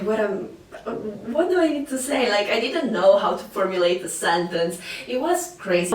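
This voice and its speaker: Italian woman, echoey far-off sound. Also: medium pitch. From Sicily, Italy. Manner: chatty, many fillers and restarts. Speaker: language-learning vlogger. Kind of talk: casual talk to camera. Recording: echoey room, voice a bit far from mic.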